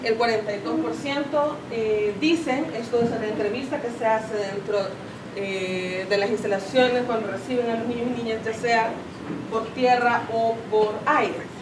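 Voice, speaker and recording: Higher-voiced woman presenting in a room. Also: steady tone, normal speed. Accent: Salvadoran Spanish. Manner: explanatory, self-correcting, many fillers. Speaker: conference speaker. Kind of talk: presenting data at a seminar. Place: conference room with audience. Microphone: far camera, no mic seen. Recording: roomy, distant camera audio with background noise.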